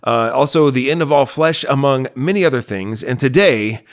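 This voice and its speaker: A man's voice: medium pitch, lively intonation, moderate pace. American man hosting a casual podcast. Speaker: podcast host and producer. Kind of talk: casual chatty podcast introduction.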